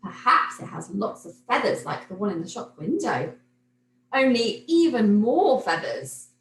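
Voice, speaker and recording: A woman's voice: medium pitch, very animated, unhurried. A woman voicing a polite, eager and dreamy little girl from a children's storybook. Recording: roomy echo, noticeable background noise.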